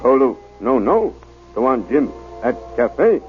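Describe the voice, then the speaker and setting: An actor playing an obliging, simple native servant who talks in pidgin English in an old-time radio drama. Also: male voice, medium pitch, sing-song, takes it slowly. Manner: sing-song pidgin English. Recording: tinny, band-limited old radio with music.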